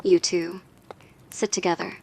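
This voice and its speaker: A woman's voice: fairly low pitch, little pitch movement, measured pace, a little mellow, rough recording. A woman voicing a flustered, weary schoolteacher addressing her class in an audio roleplay.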